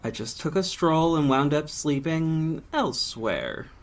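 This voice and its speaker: A man speaking drama dialogue in a brisk, evasive, joking way. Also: a little high, lively intonation. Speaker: lead character in dialogue.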